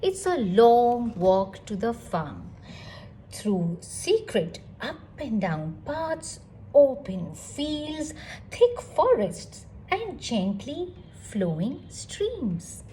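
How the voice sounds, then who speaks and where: A woman reading a picture book aloud to children in an expressive, engaging storytelling style. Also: a little high, a little hiss or hum, poor audio. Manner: animated, addresses child listeners with questions.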